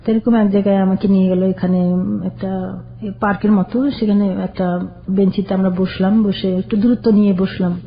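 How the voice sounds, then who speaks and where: An older woman, a guest on a radio show, telling her own life story in a plain, halting way. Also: mid-range voice, fairly even intonation. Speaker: caller guest. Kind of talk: personal storytelling. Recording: dull lo-fi radio sound.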